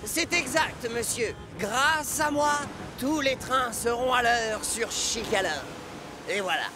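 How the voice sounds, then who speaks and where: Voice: mid-range voice, takes it slowly, over music, natural voice. An actor voicing a vain, boastful male steam engine with a cocky, showy manner in a children's cartoon dub. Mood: smug. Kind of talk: animated children's series dub.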